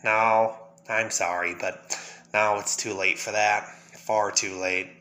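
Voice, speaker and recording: Crazy clown villain from a comic, bright edgy voice, taunting and erratic. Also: male voice, lowish voice, steady tone, slow. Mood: wistful, darkly amused. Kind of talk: fan dramatic reading of a comic.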